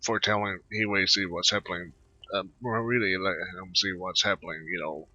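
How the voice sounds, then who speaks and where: Low, raspy male gamer with a forceful, bright edge; halting, mumbled playthrough commentary, slightly muffled mic. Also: steady tone. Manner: halting, mumbling asides and half-read text. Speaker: gameplay video host. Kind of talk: casual game playthrough commentary. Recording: slightly muffled, dull microphone sound. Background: voice-over on gameplay recording.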